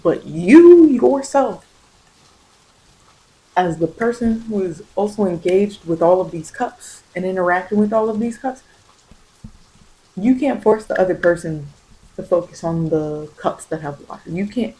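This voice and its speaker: A woman with a lowish, very soft-edged mellow voice gives a tarot reading in an informal ASMR style. She coaches the listener directly, repeating phrases for emphasis. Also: lively intonation, a little slow, low-quality recording. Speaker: tarot reader.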